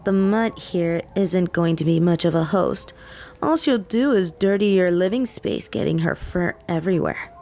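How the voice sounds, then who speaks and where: A woman's voice: lowish voice, normal speed, warm tone, close to an everyday voice. A woman playing a possessive, haughty female vampire in an audio roleplay, taunting and theatrical, with clingy endearments. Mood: mocking, disdainful. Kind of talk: scripted audio roleplay acting.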